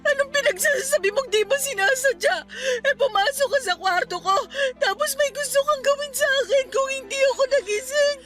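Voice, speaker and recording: A woman's voice: very high voice, brisk, few pauses. A woman playing a frightened, indignant teenage schoolgirl with a Filipino accent in a radio drama, tearful and accusing.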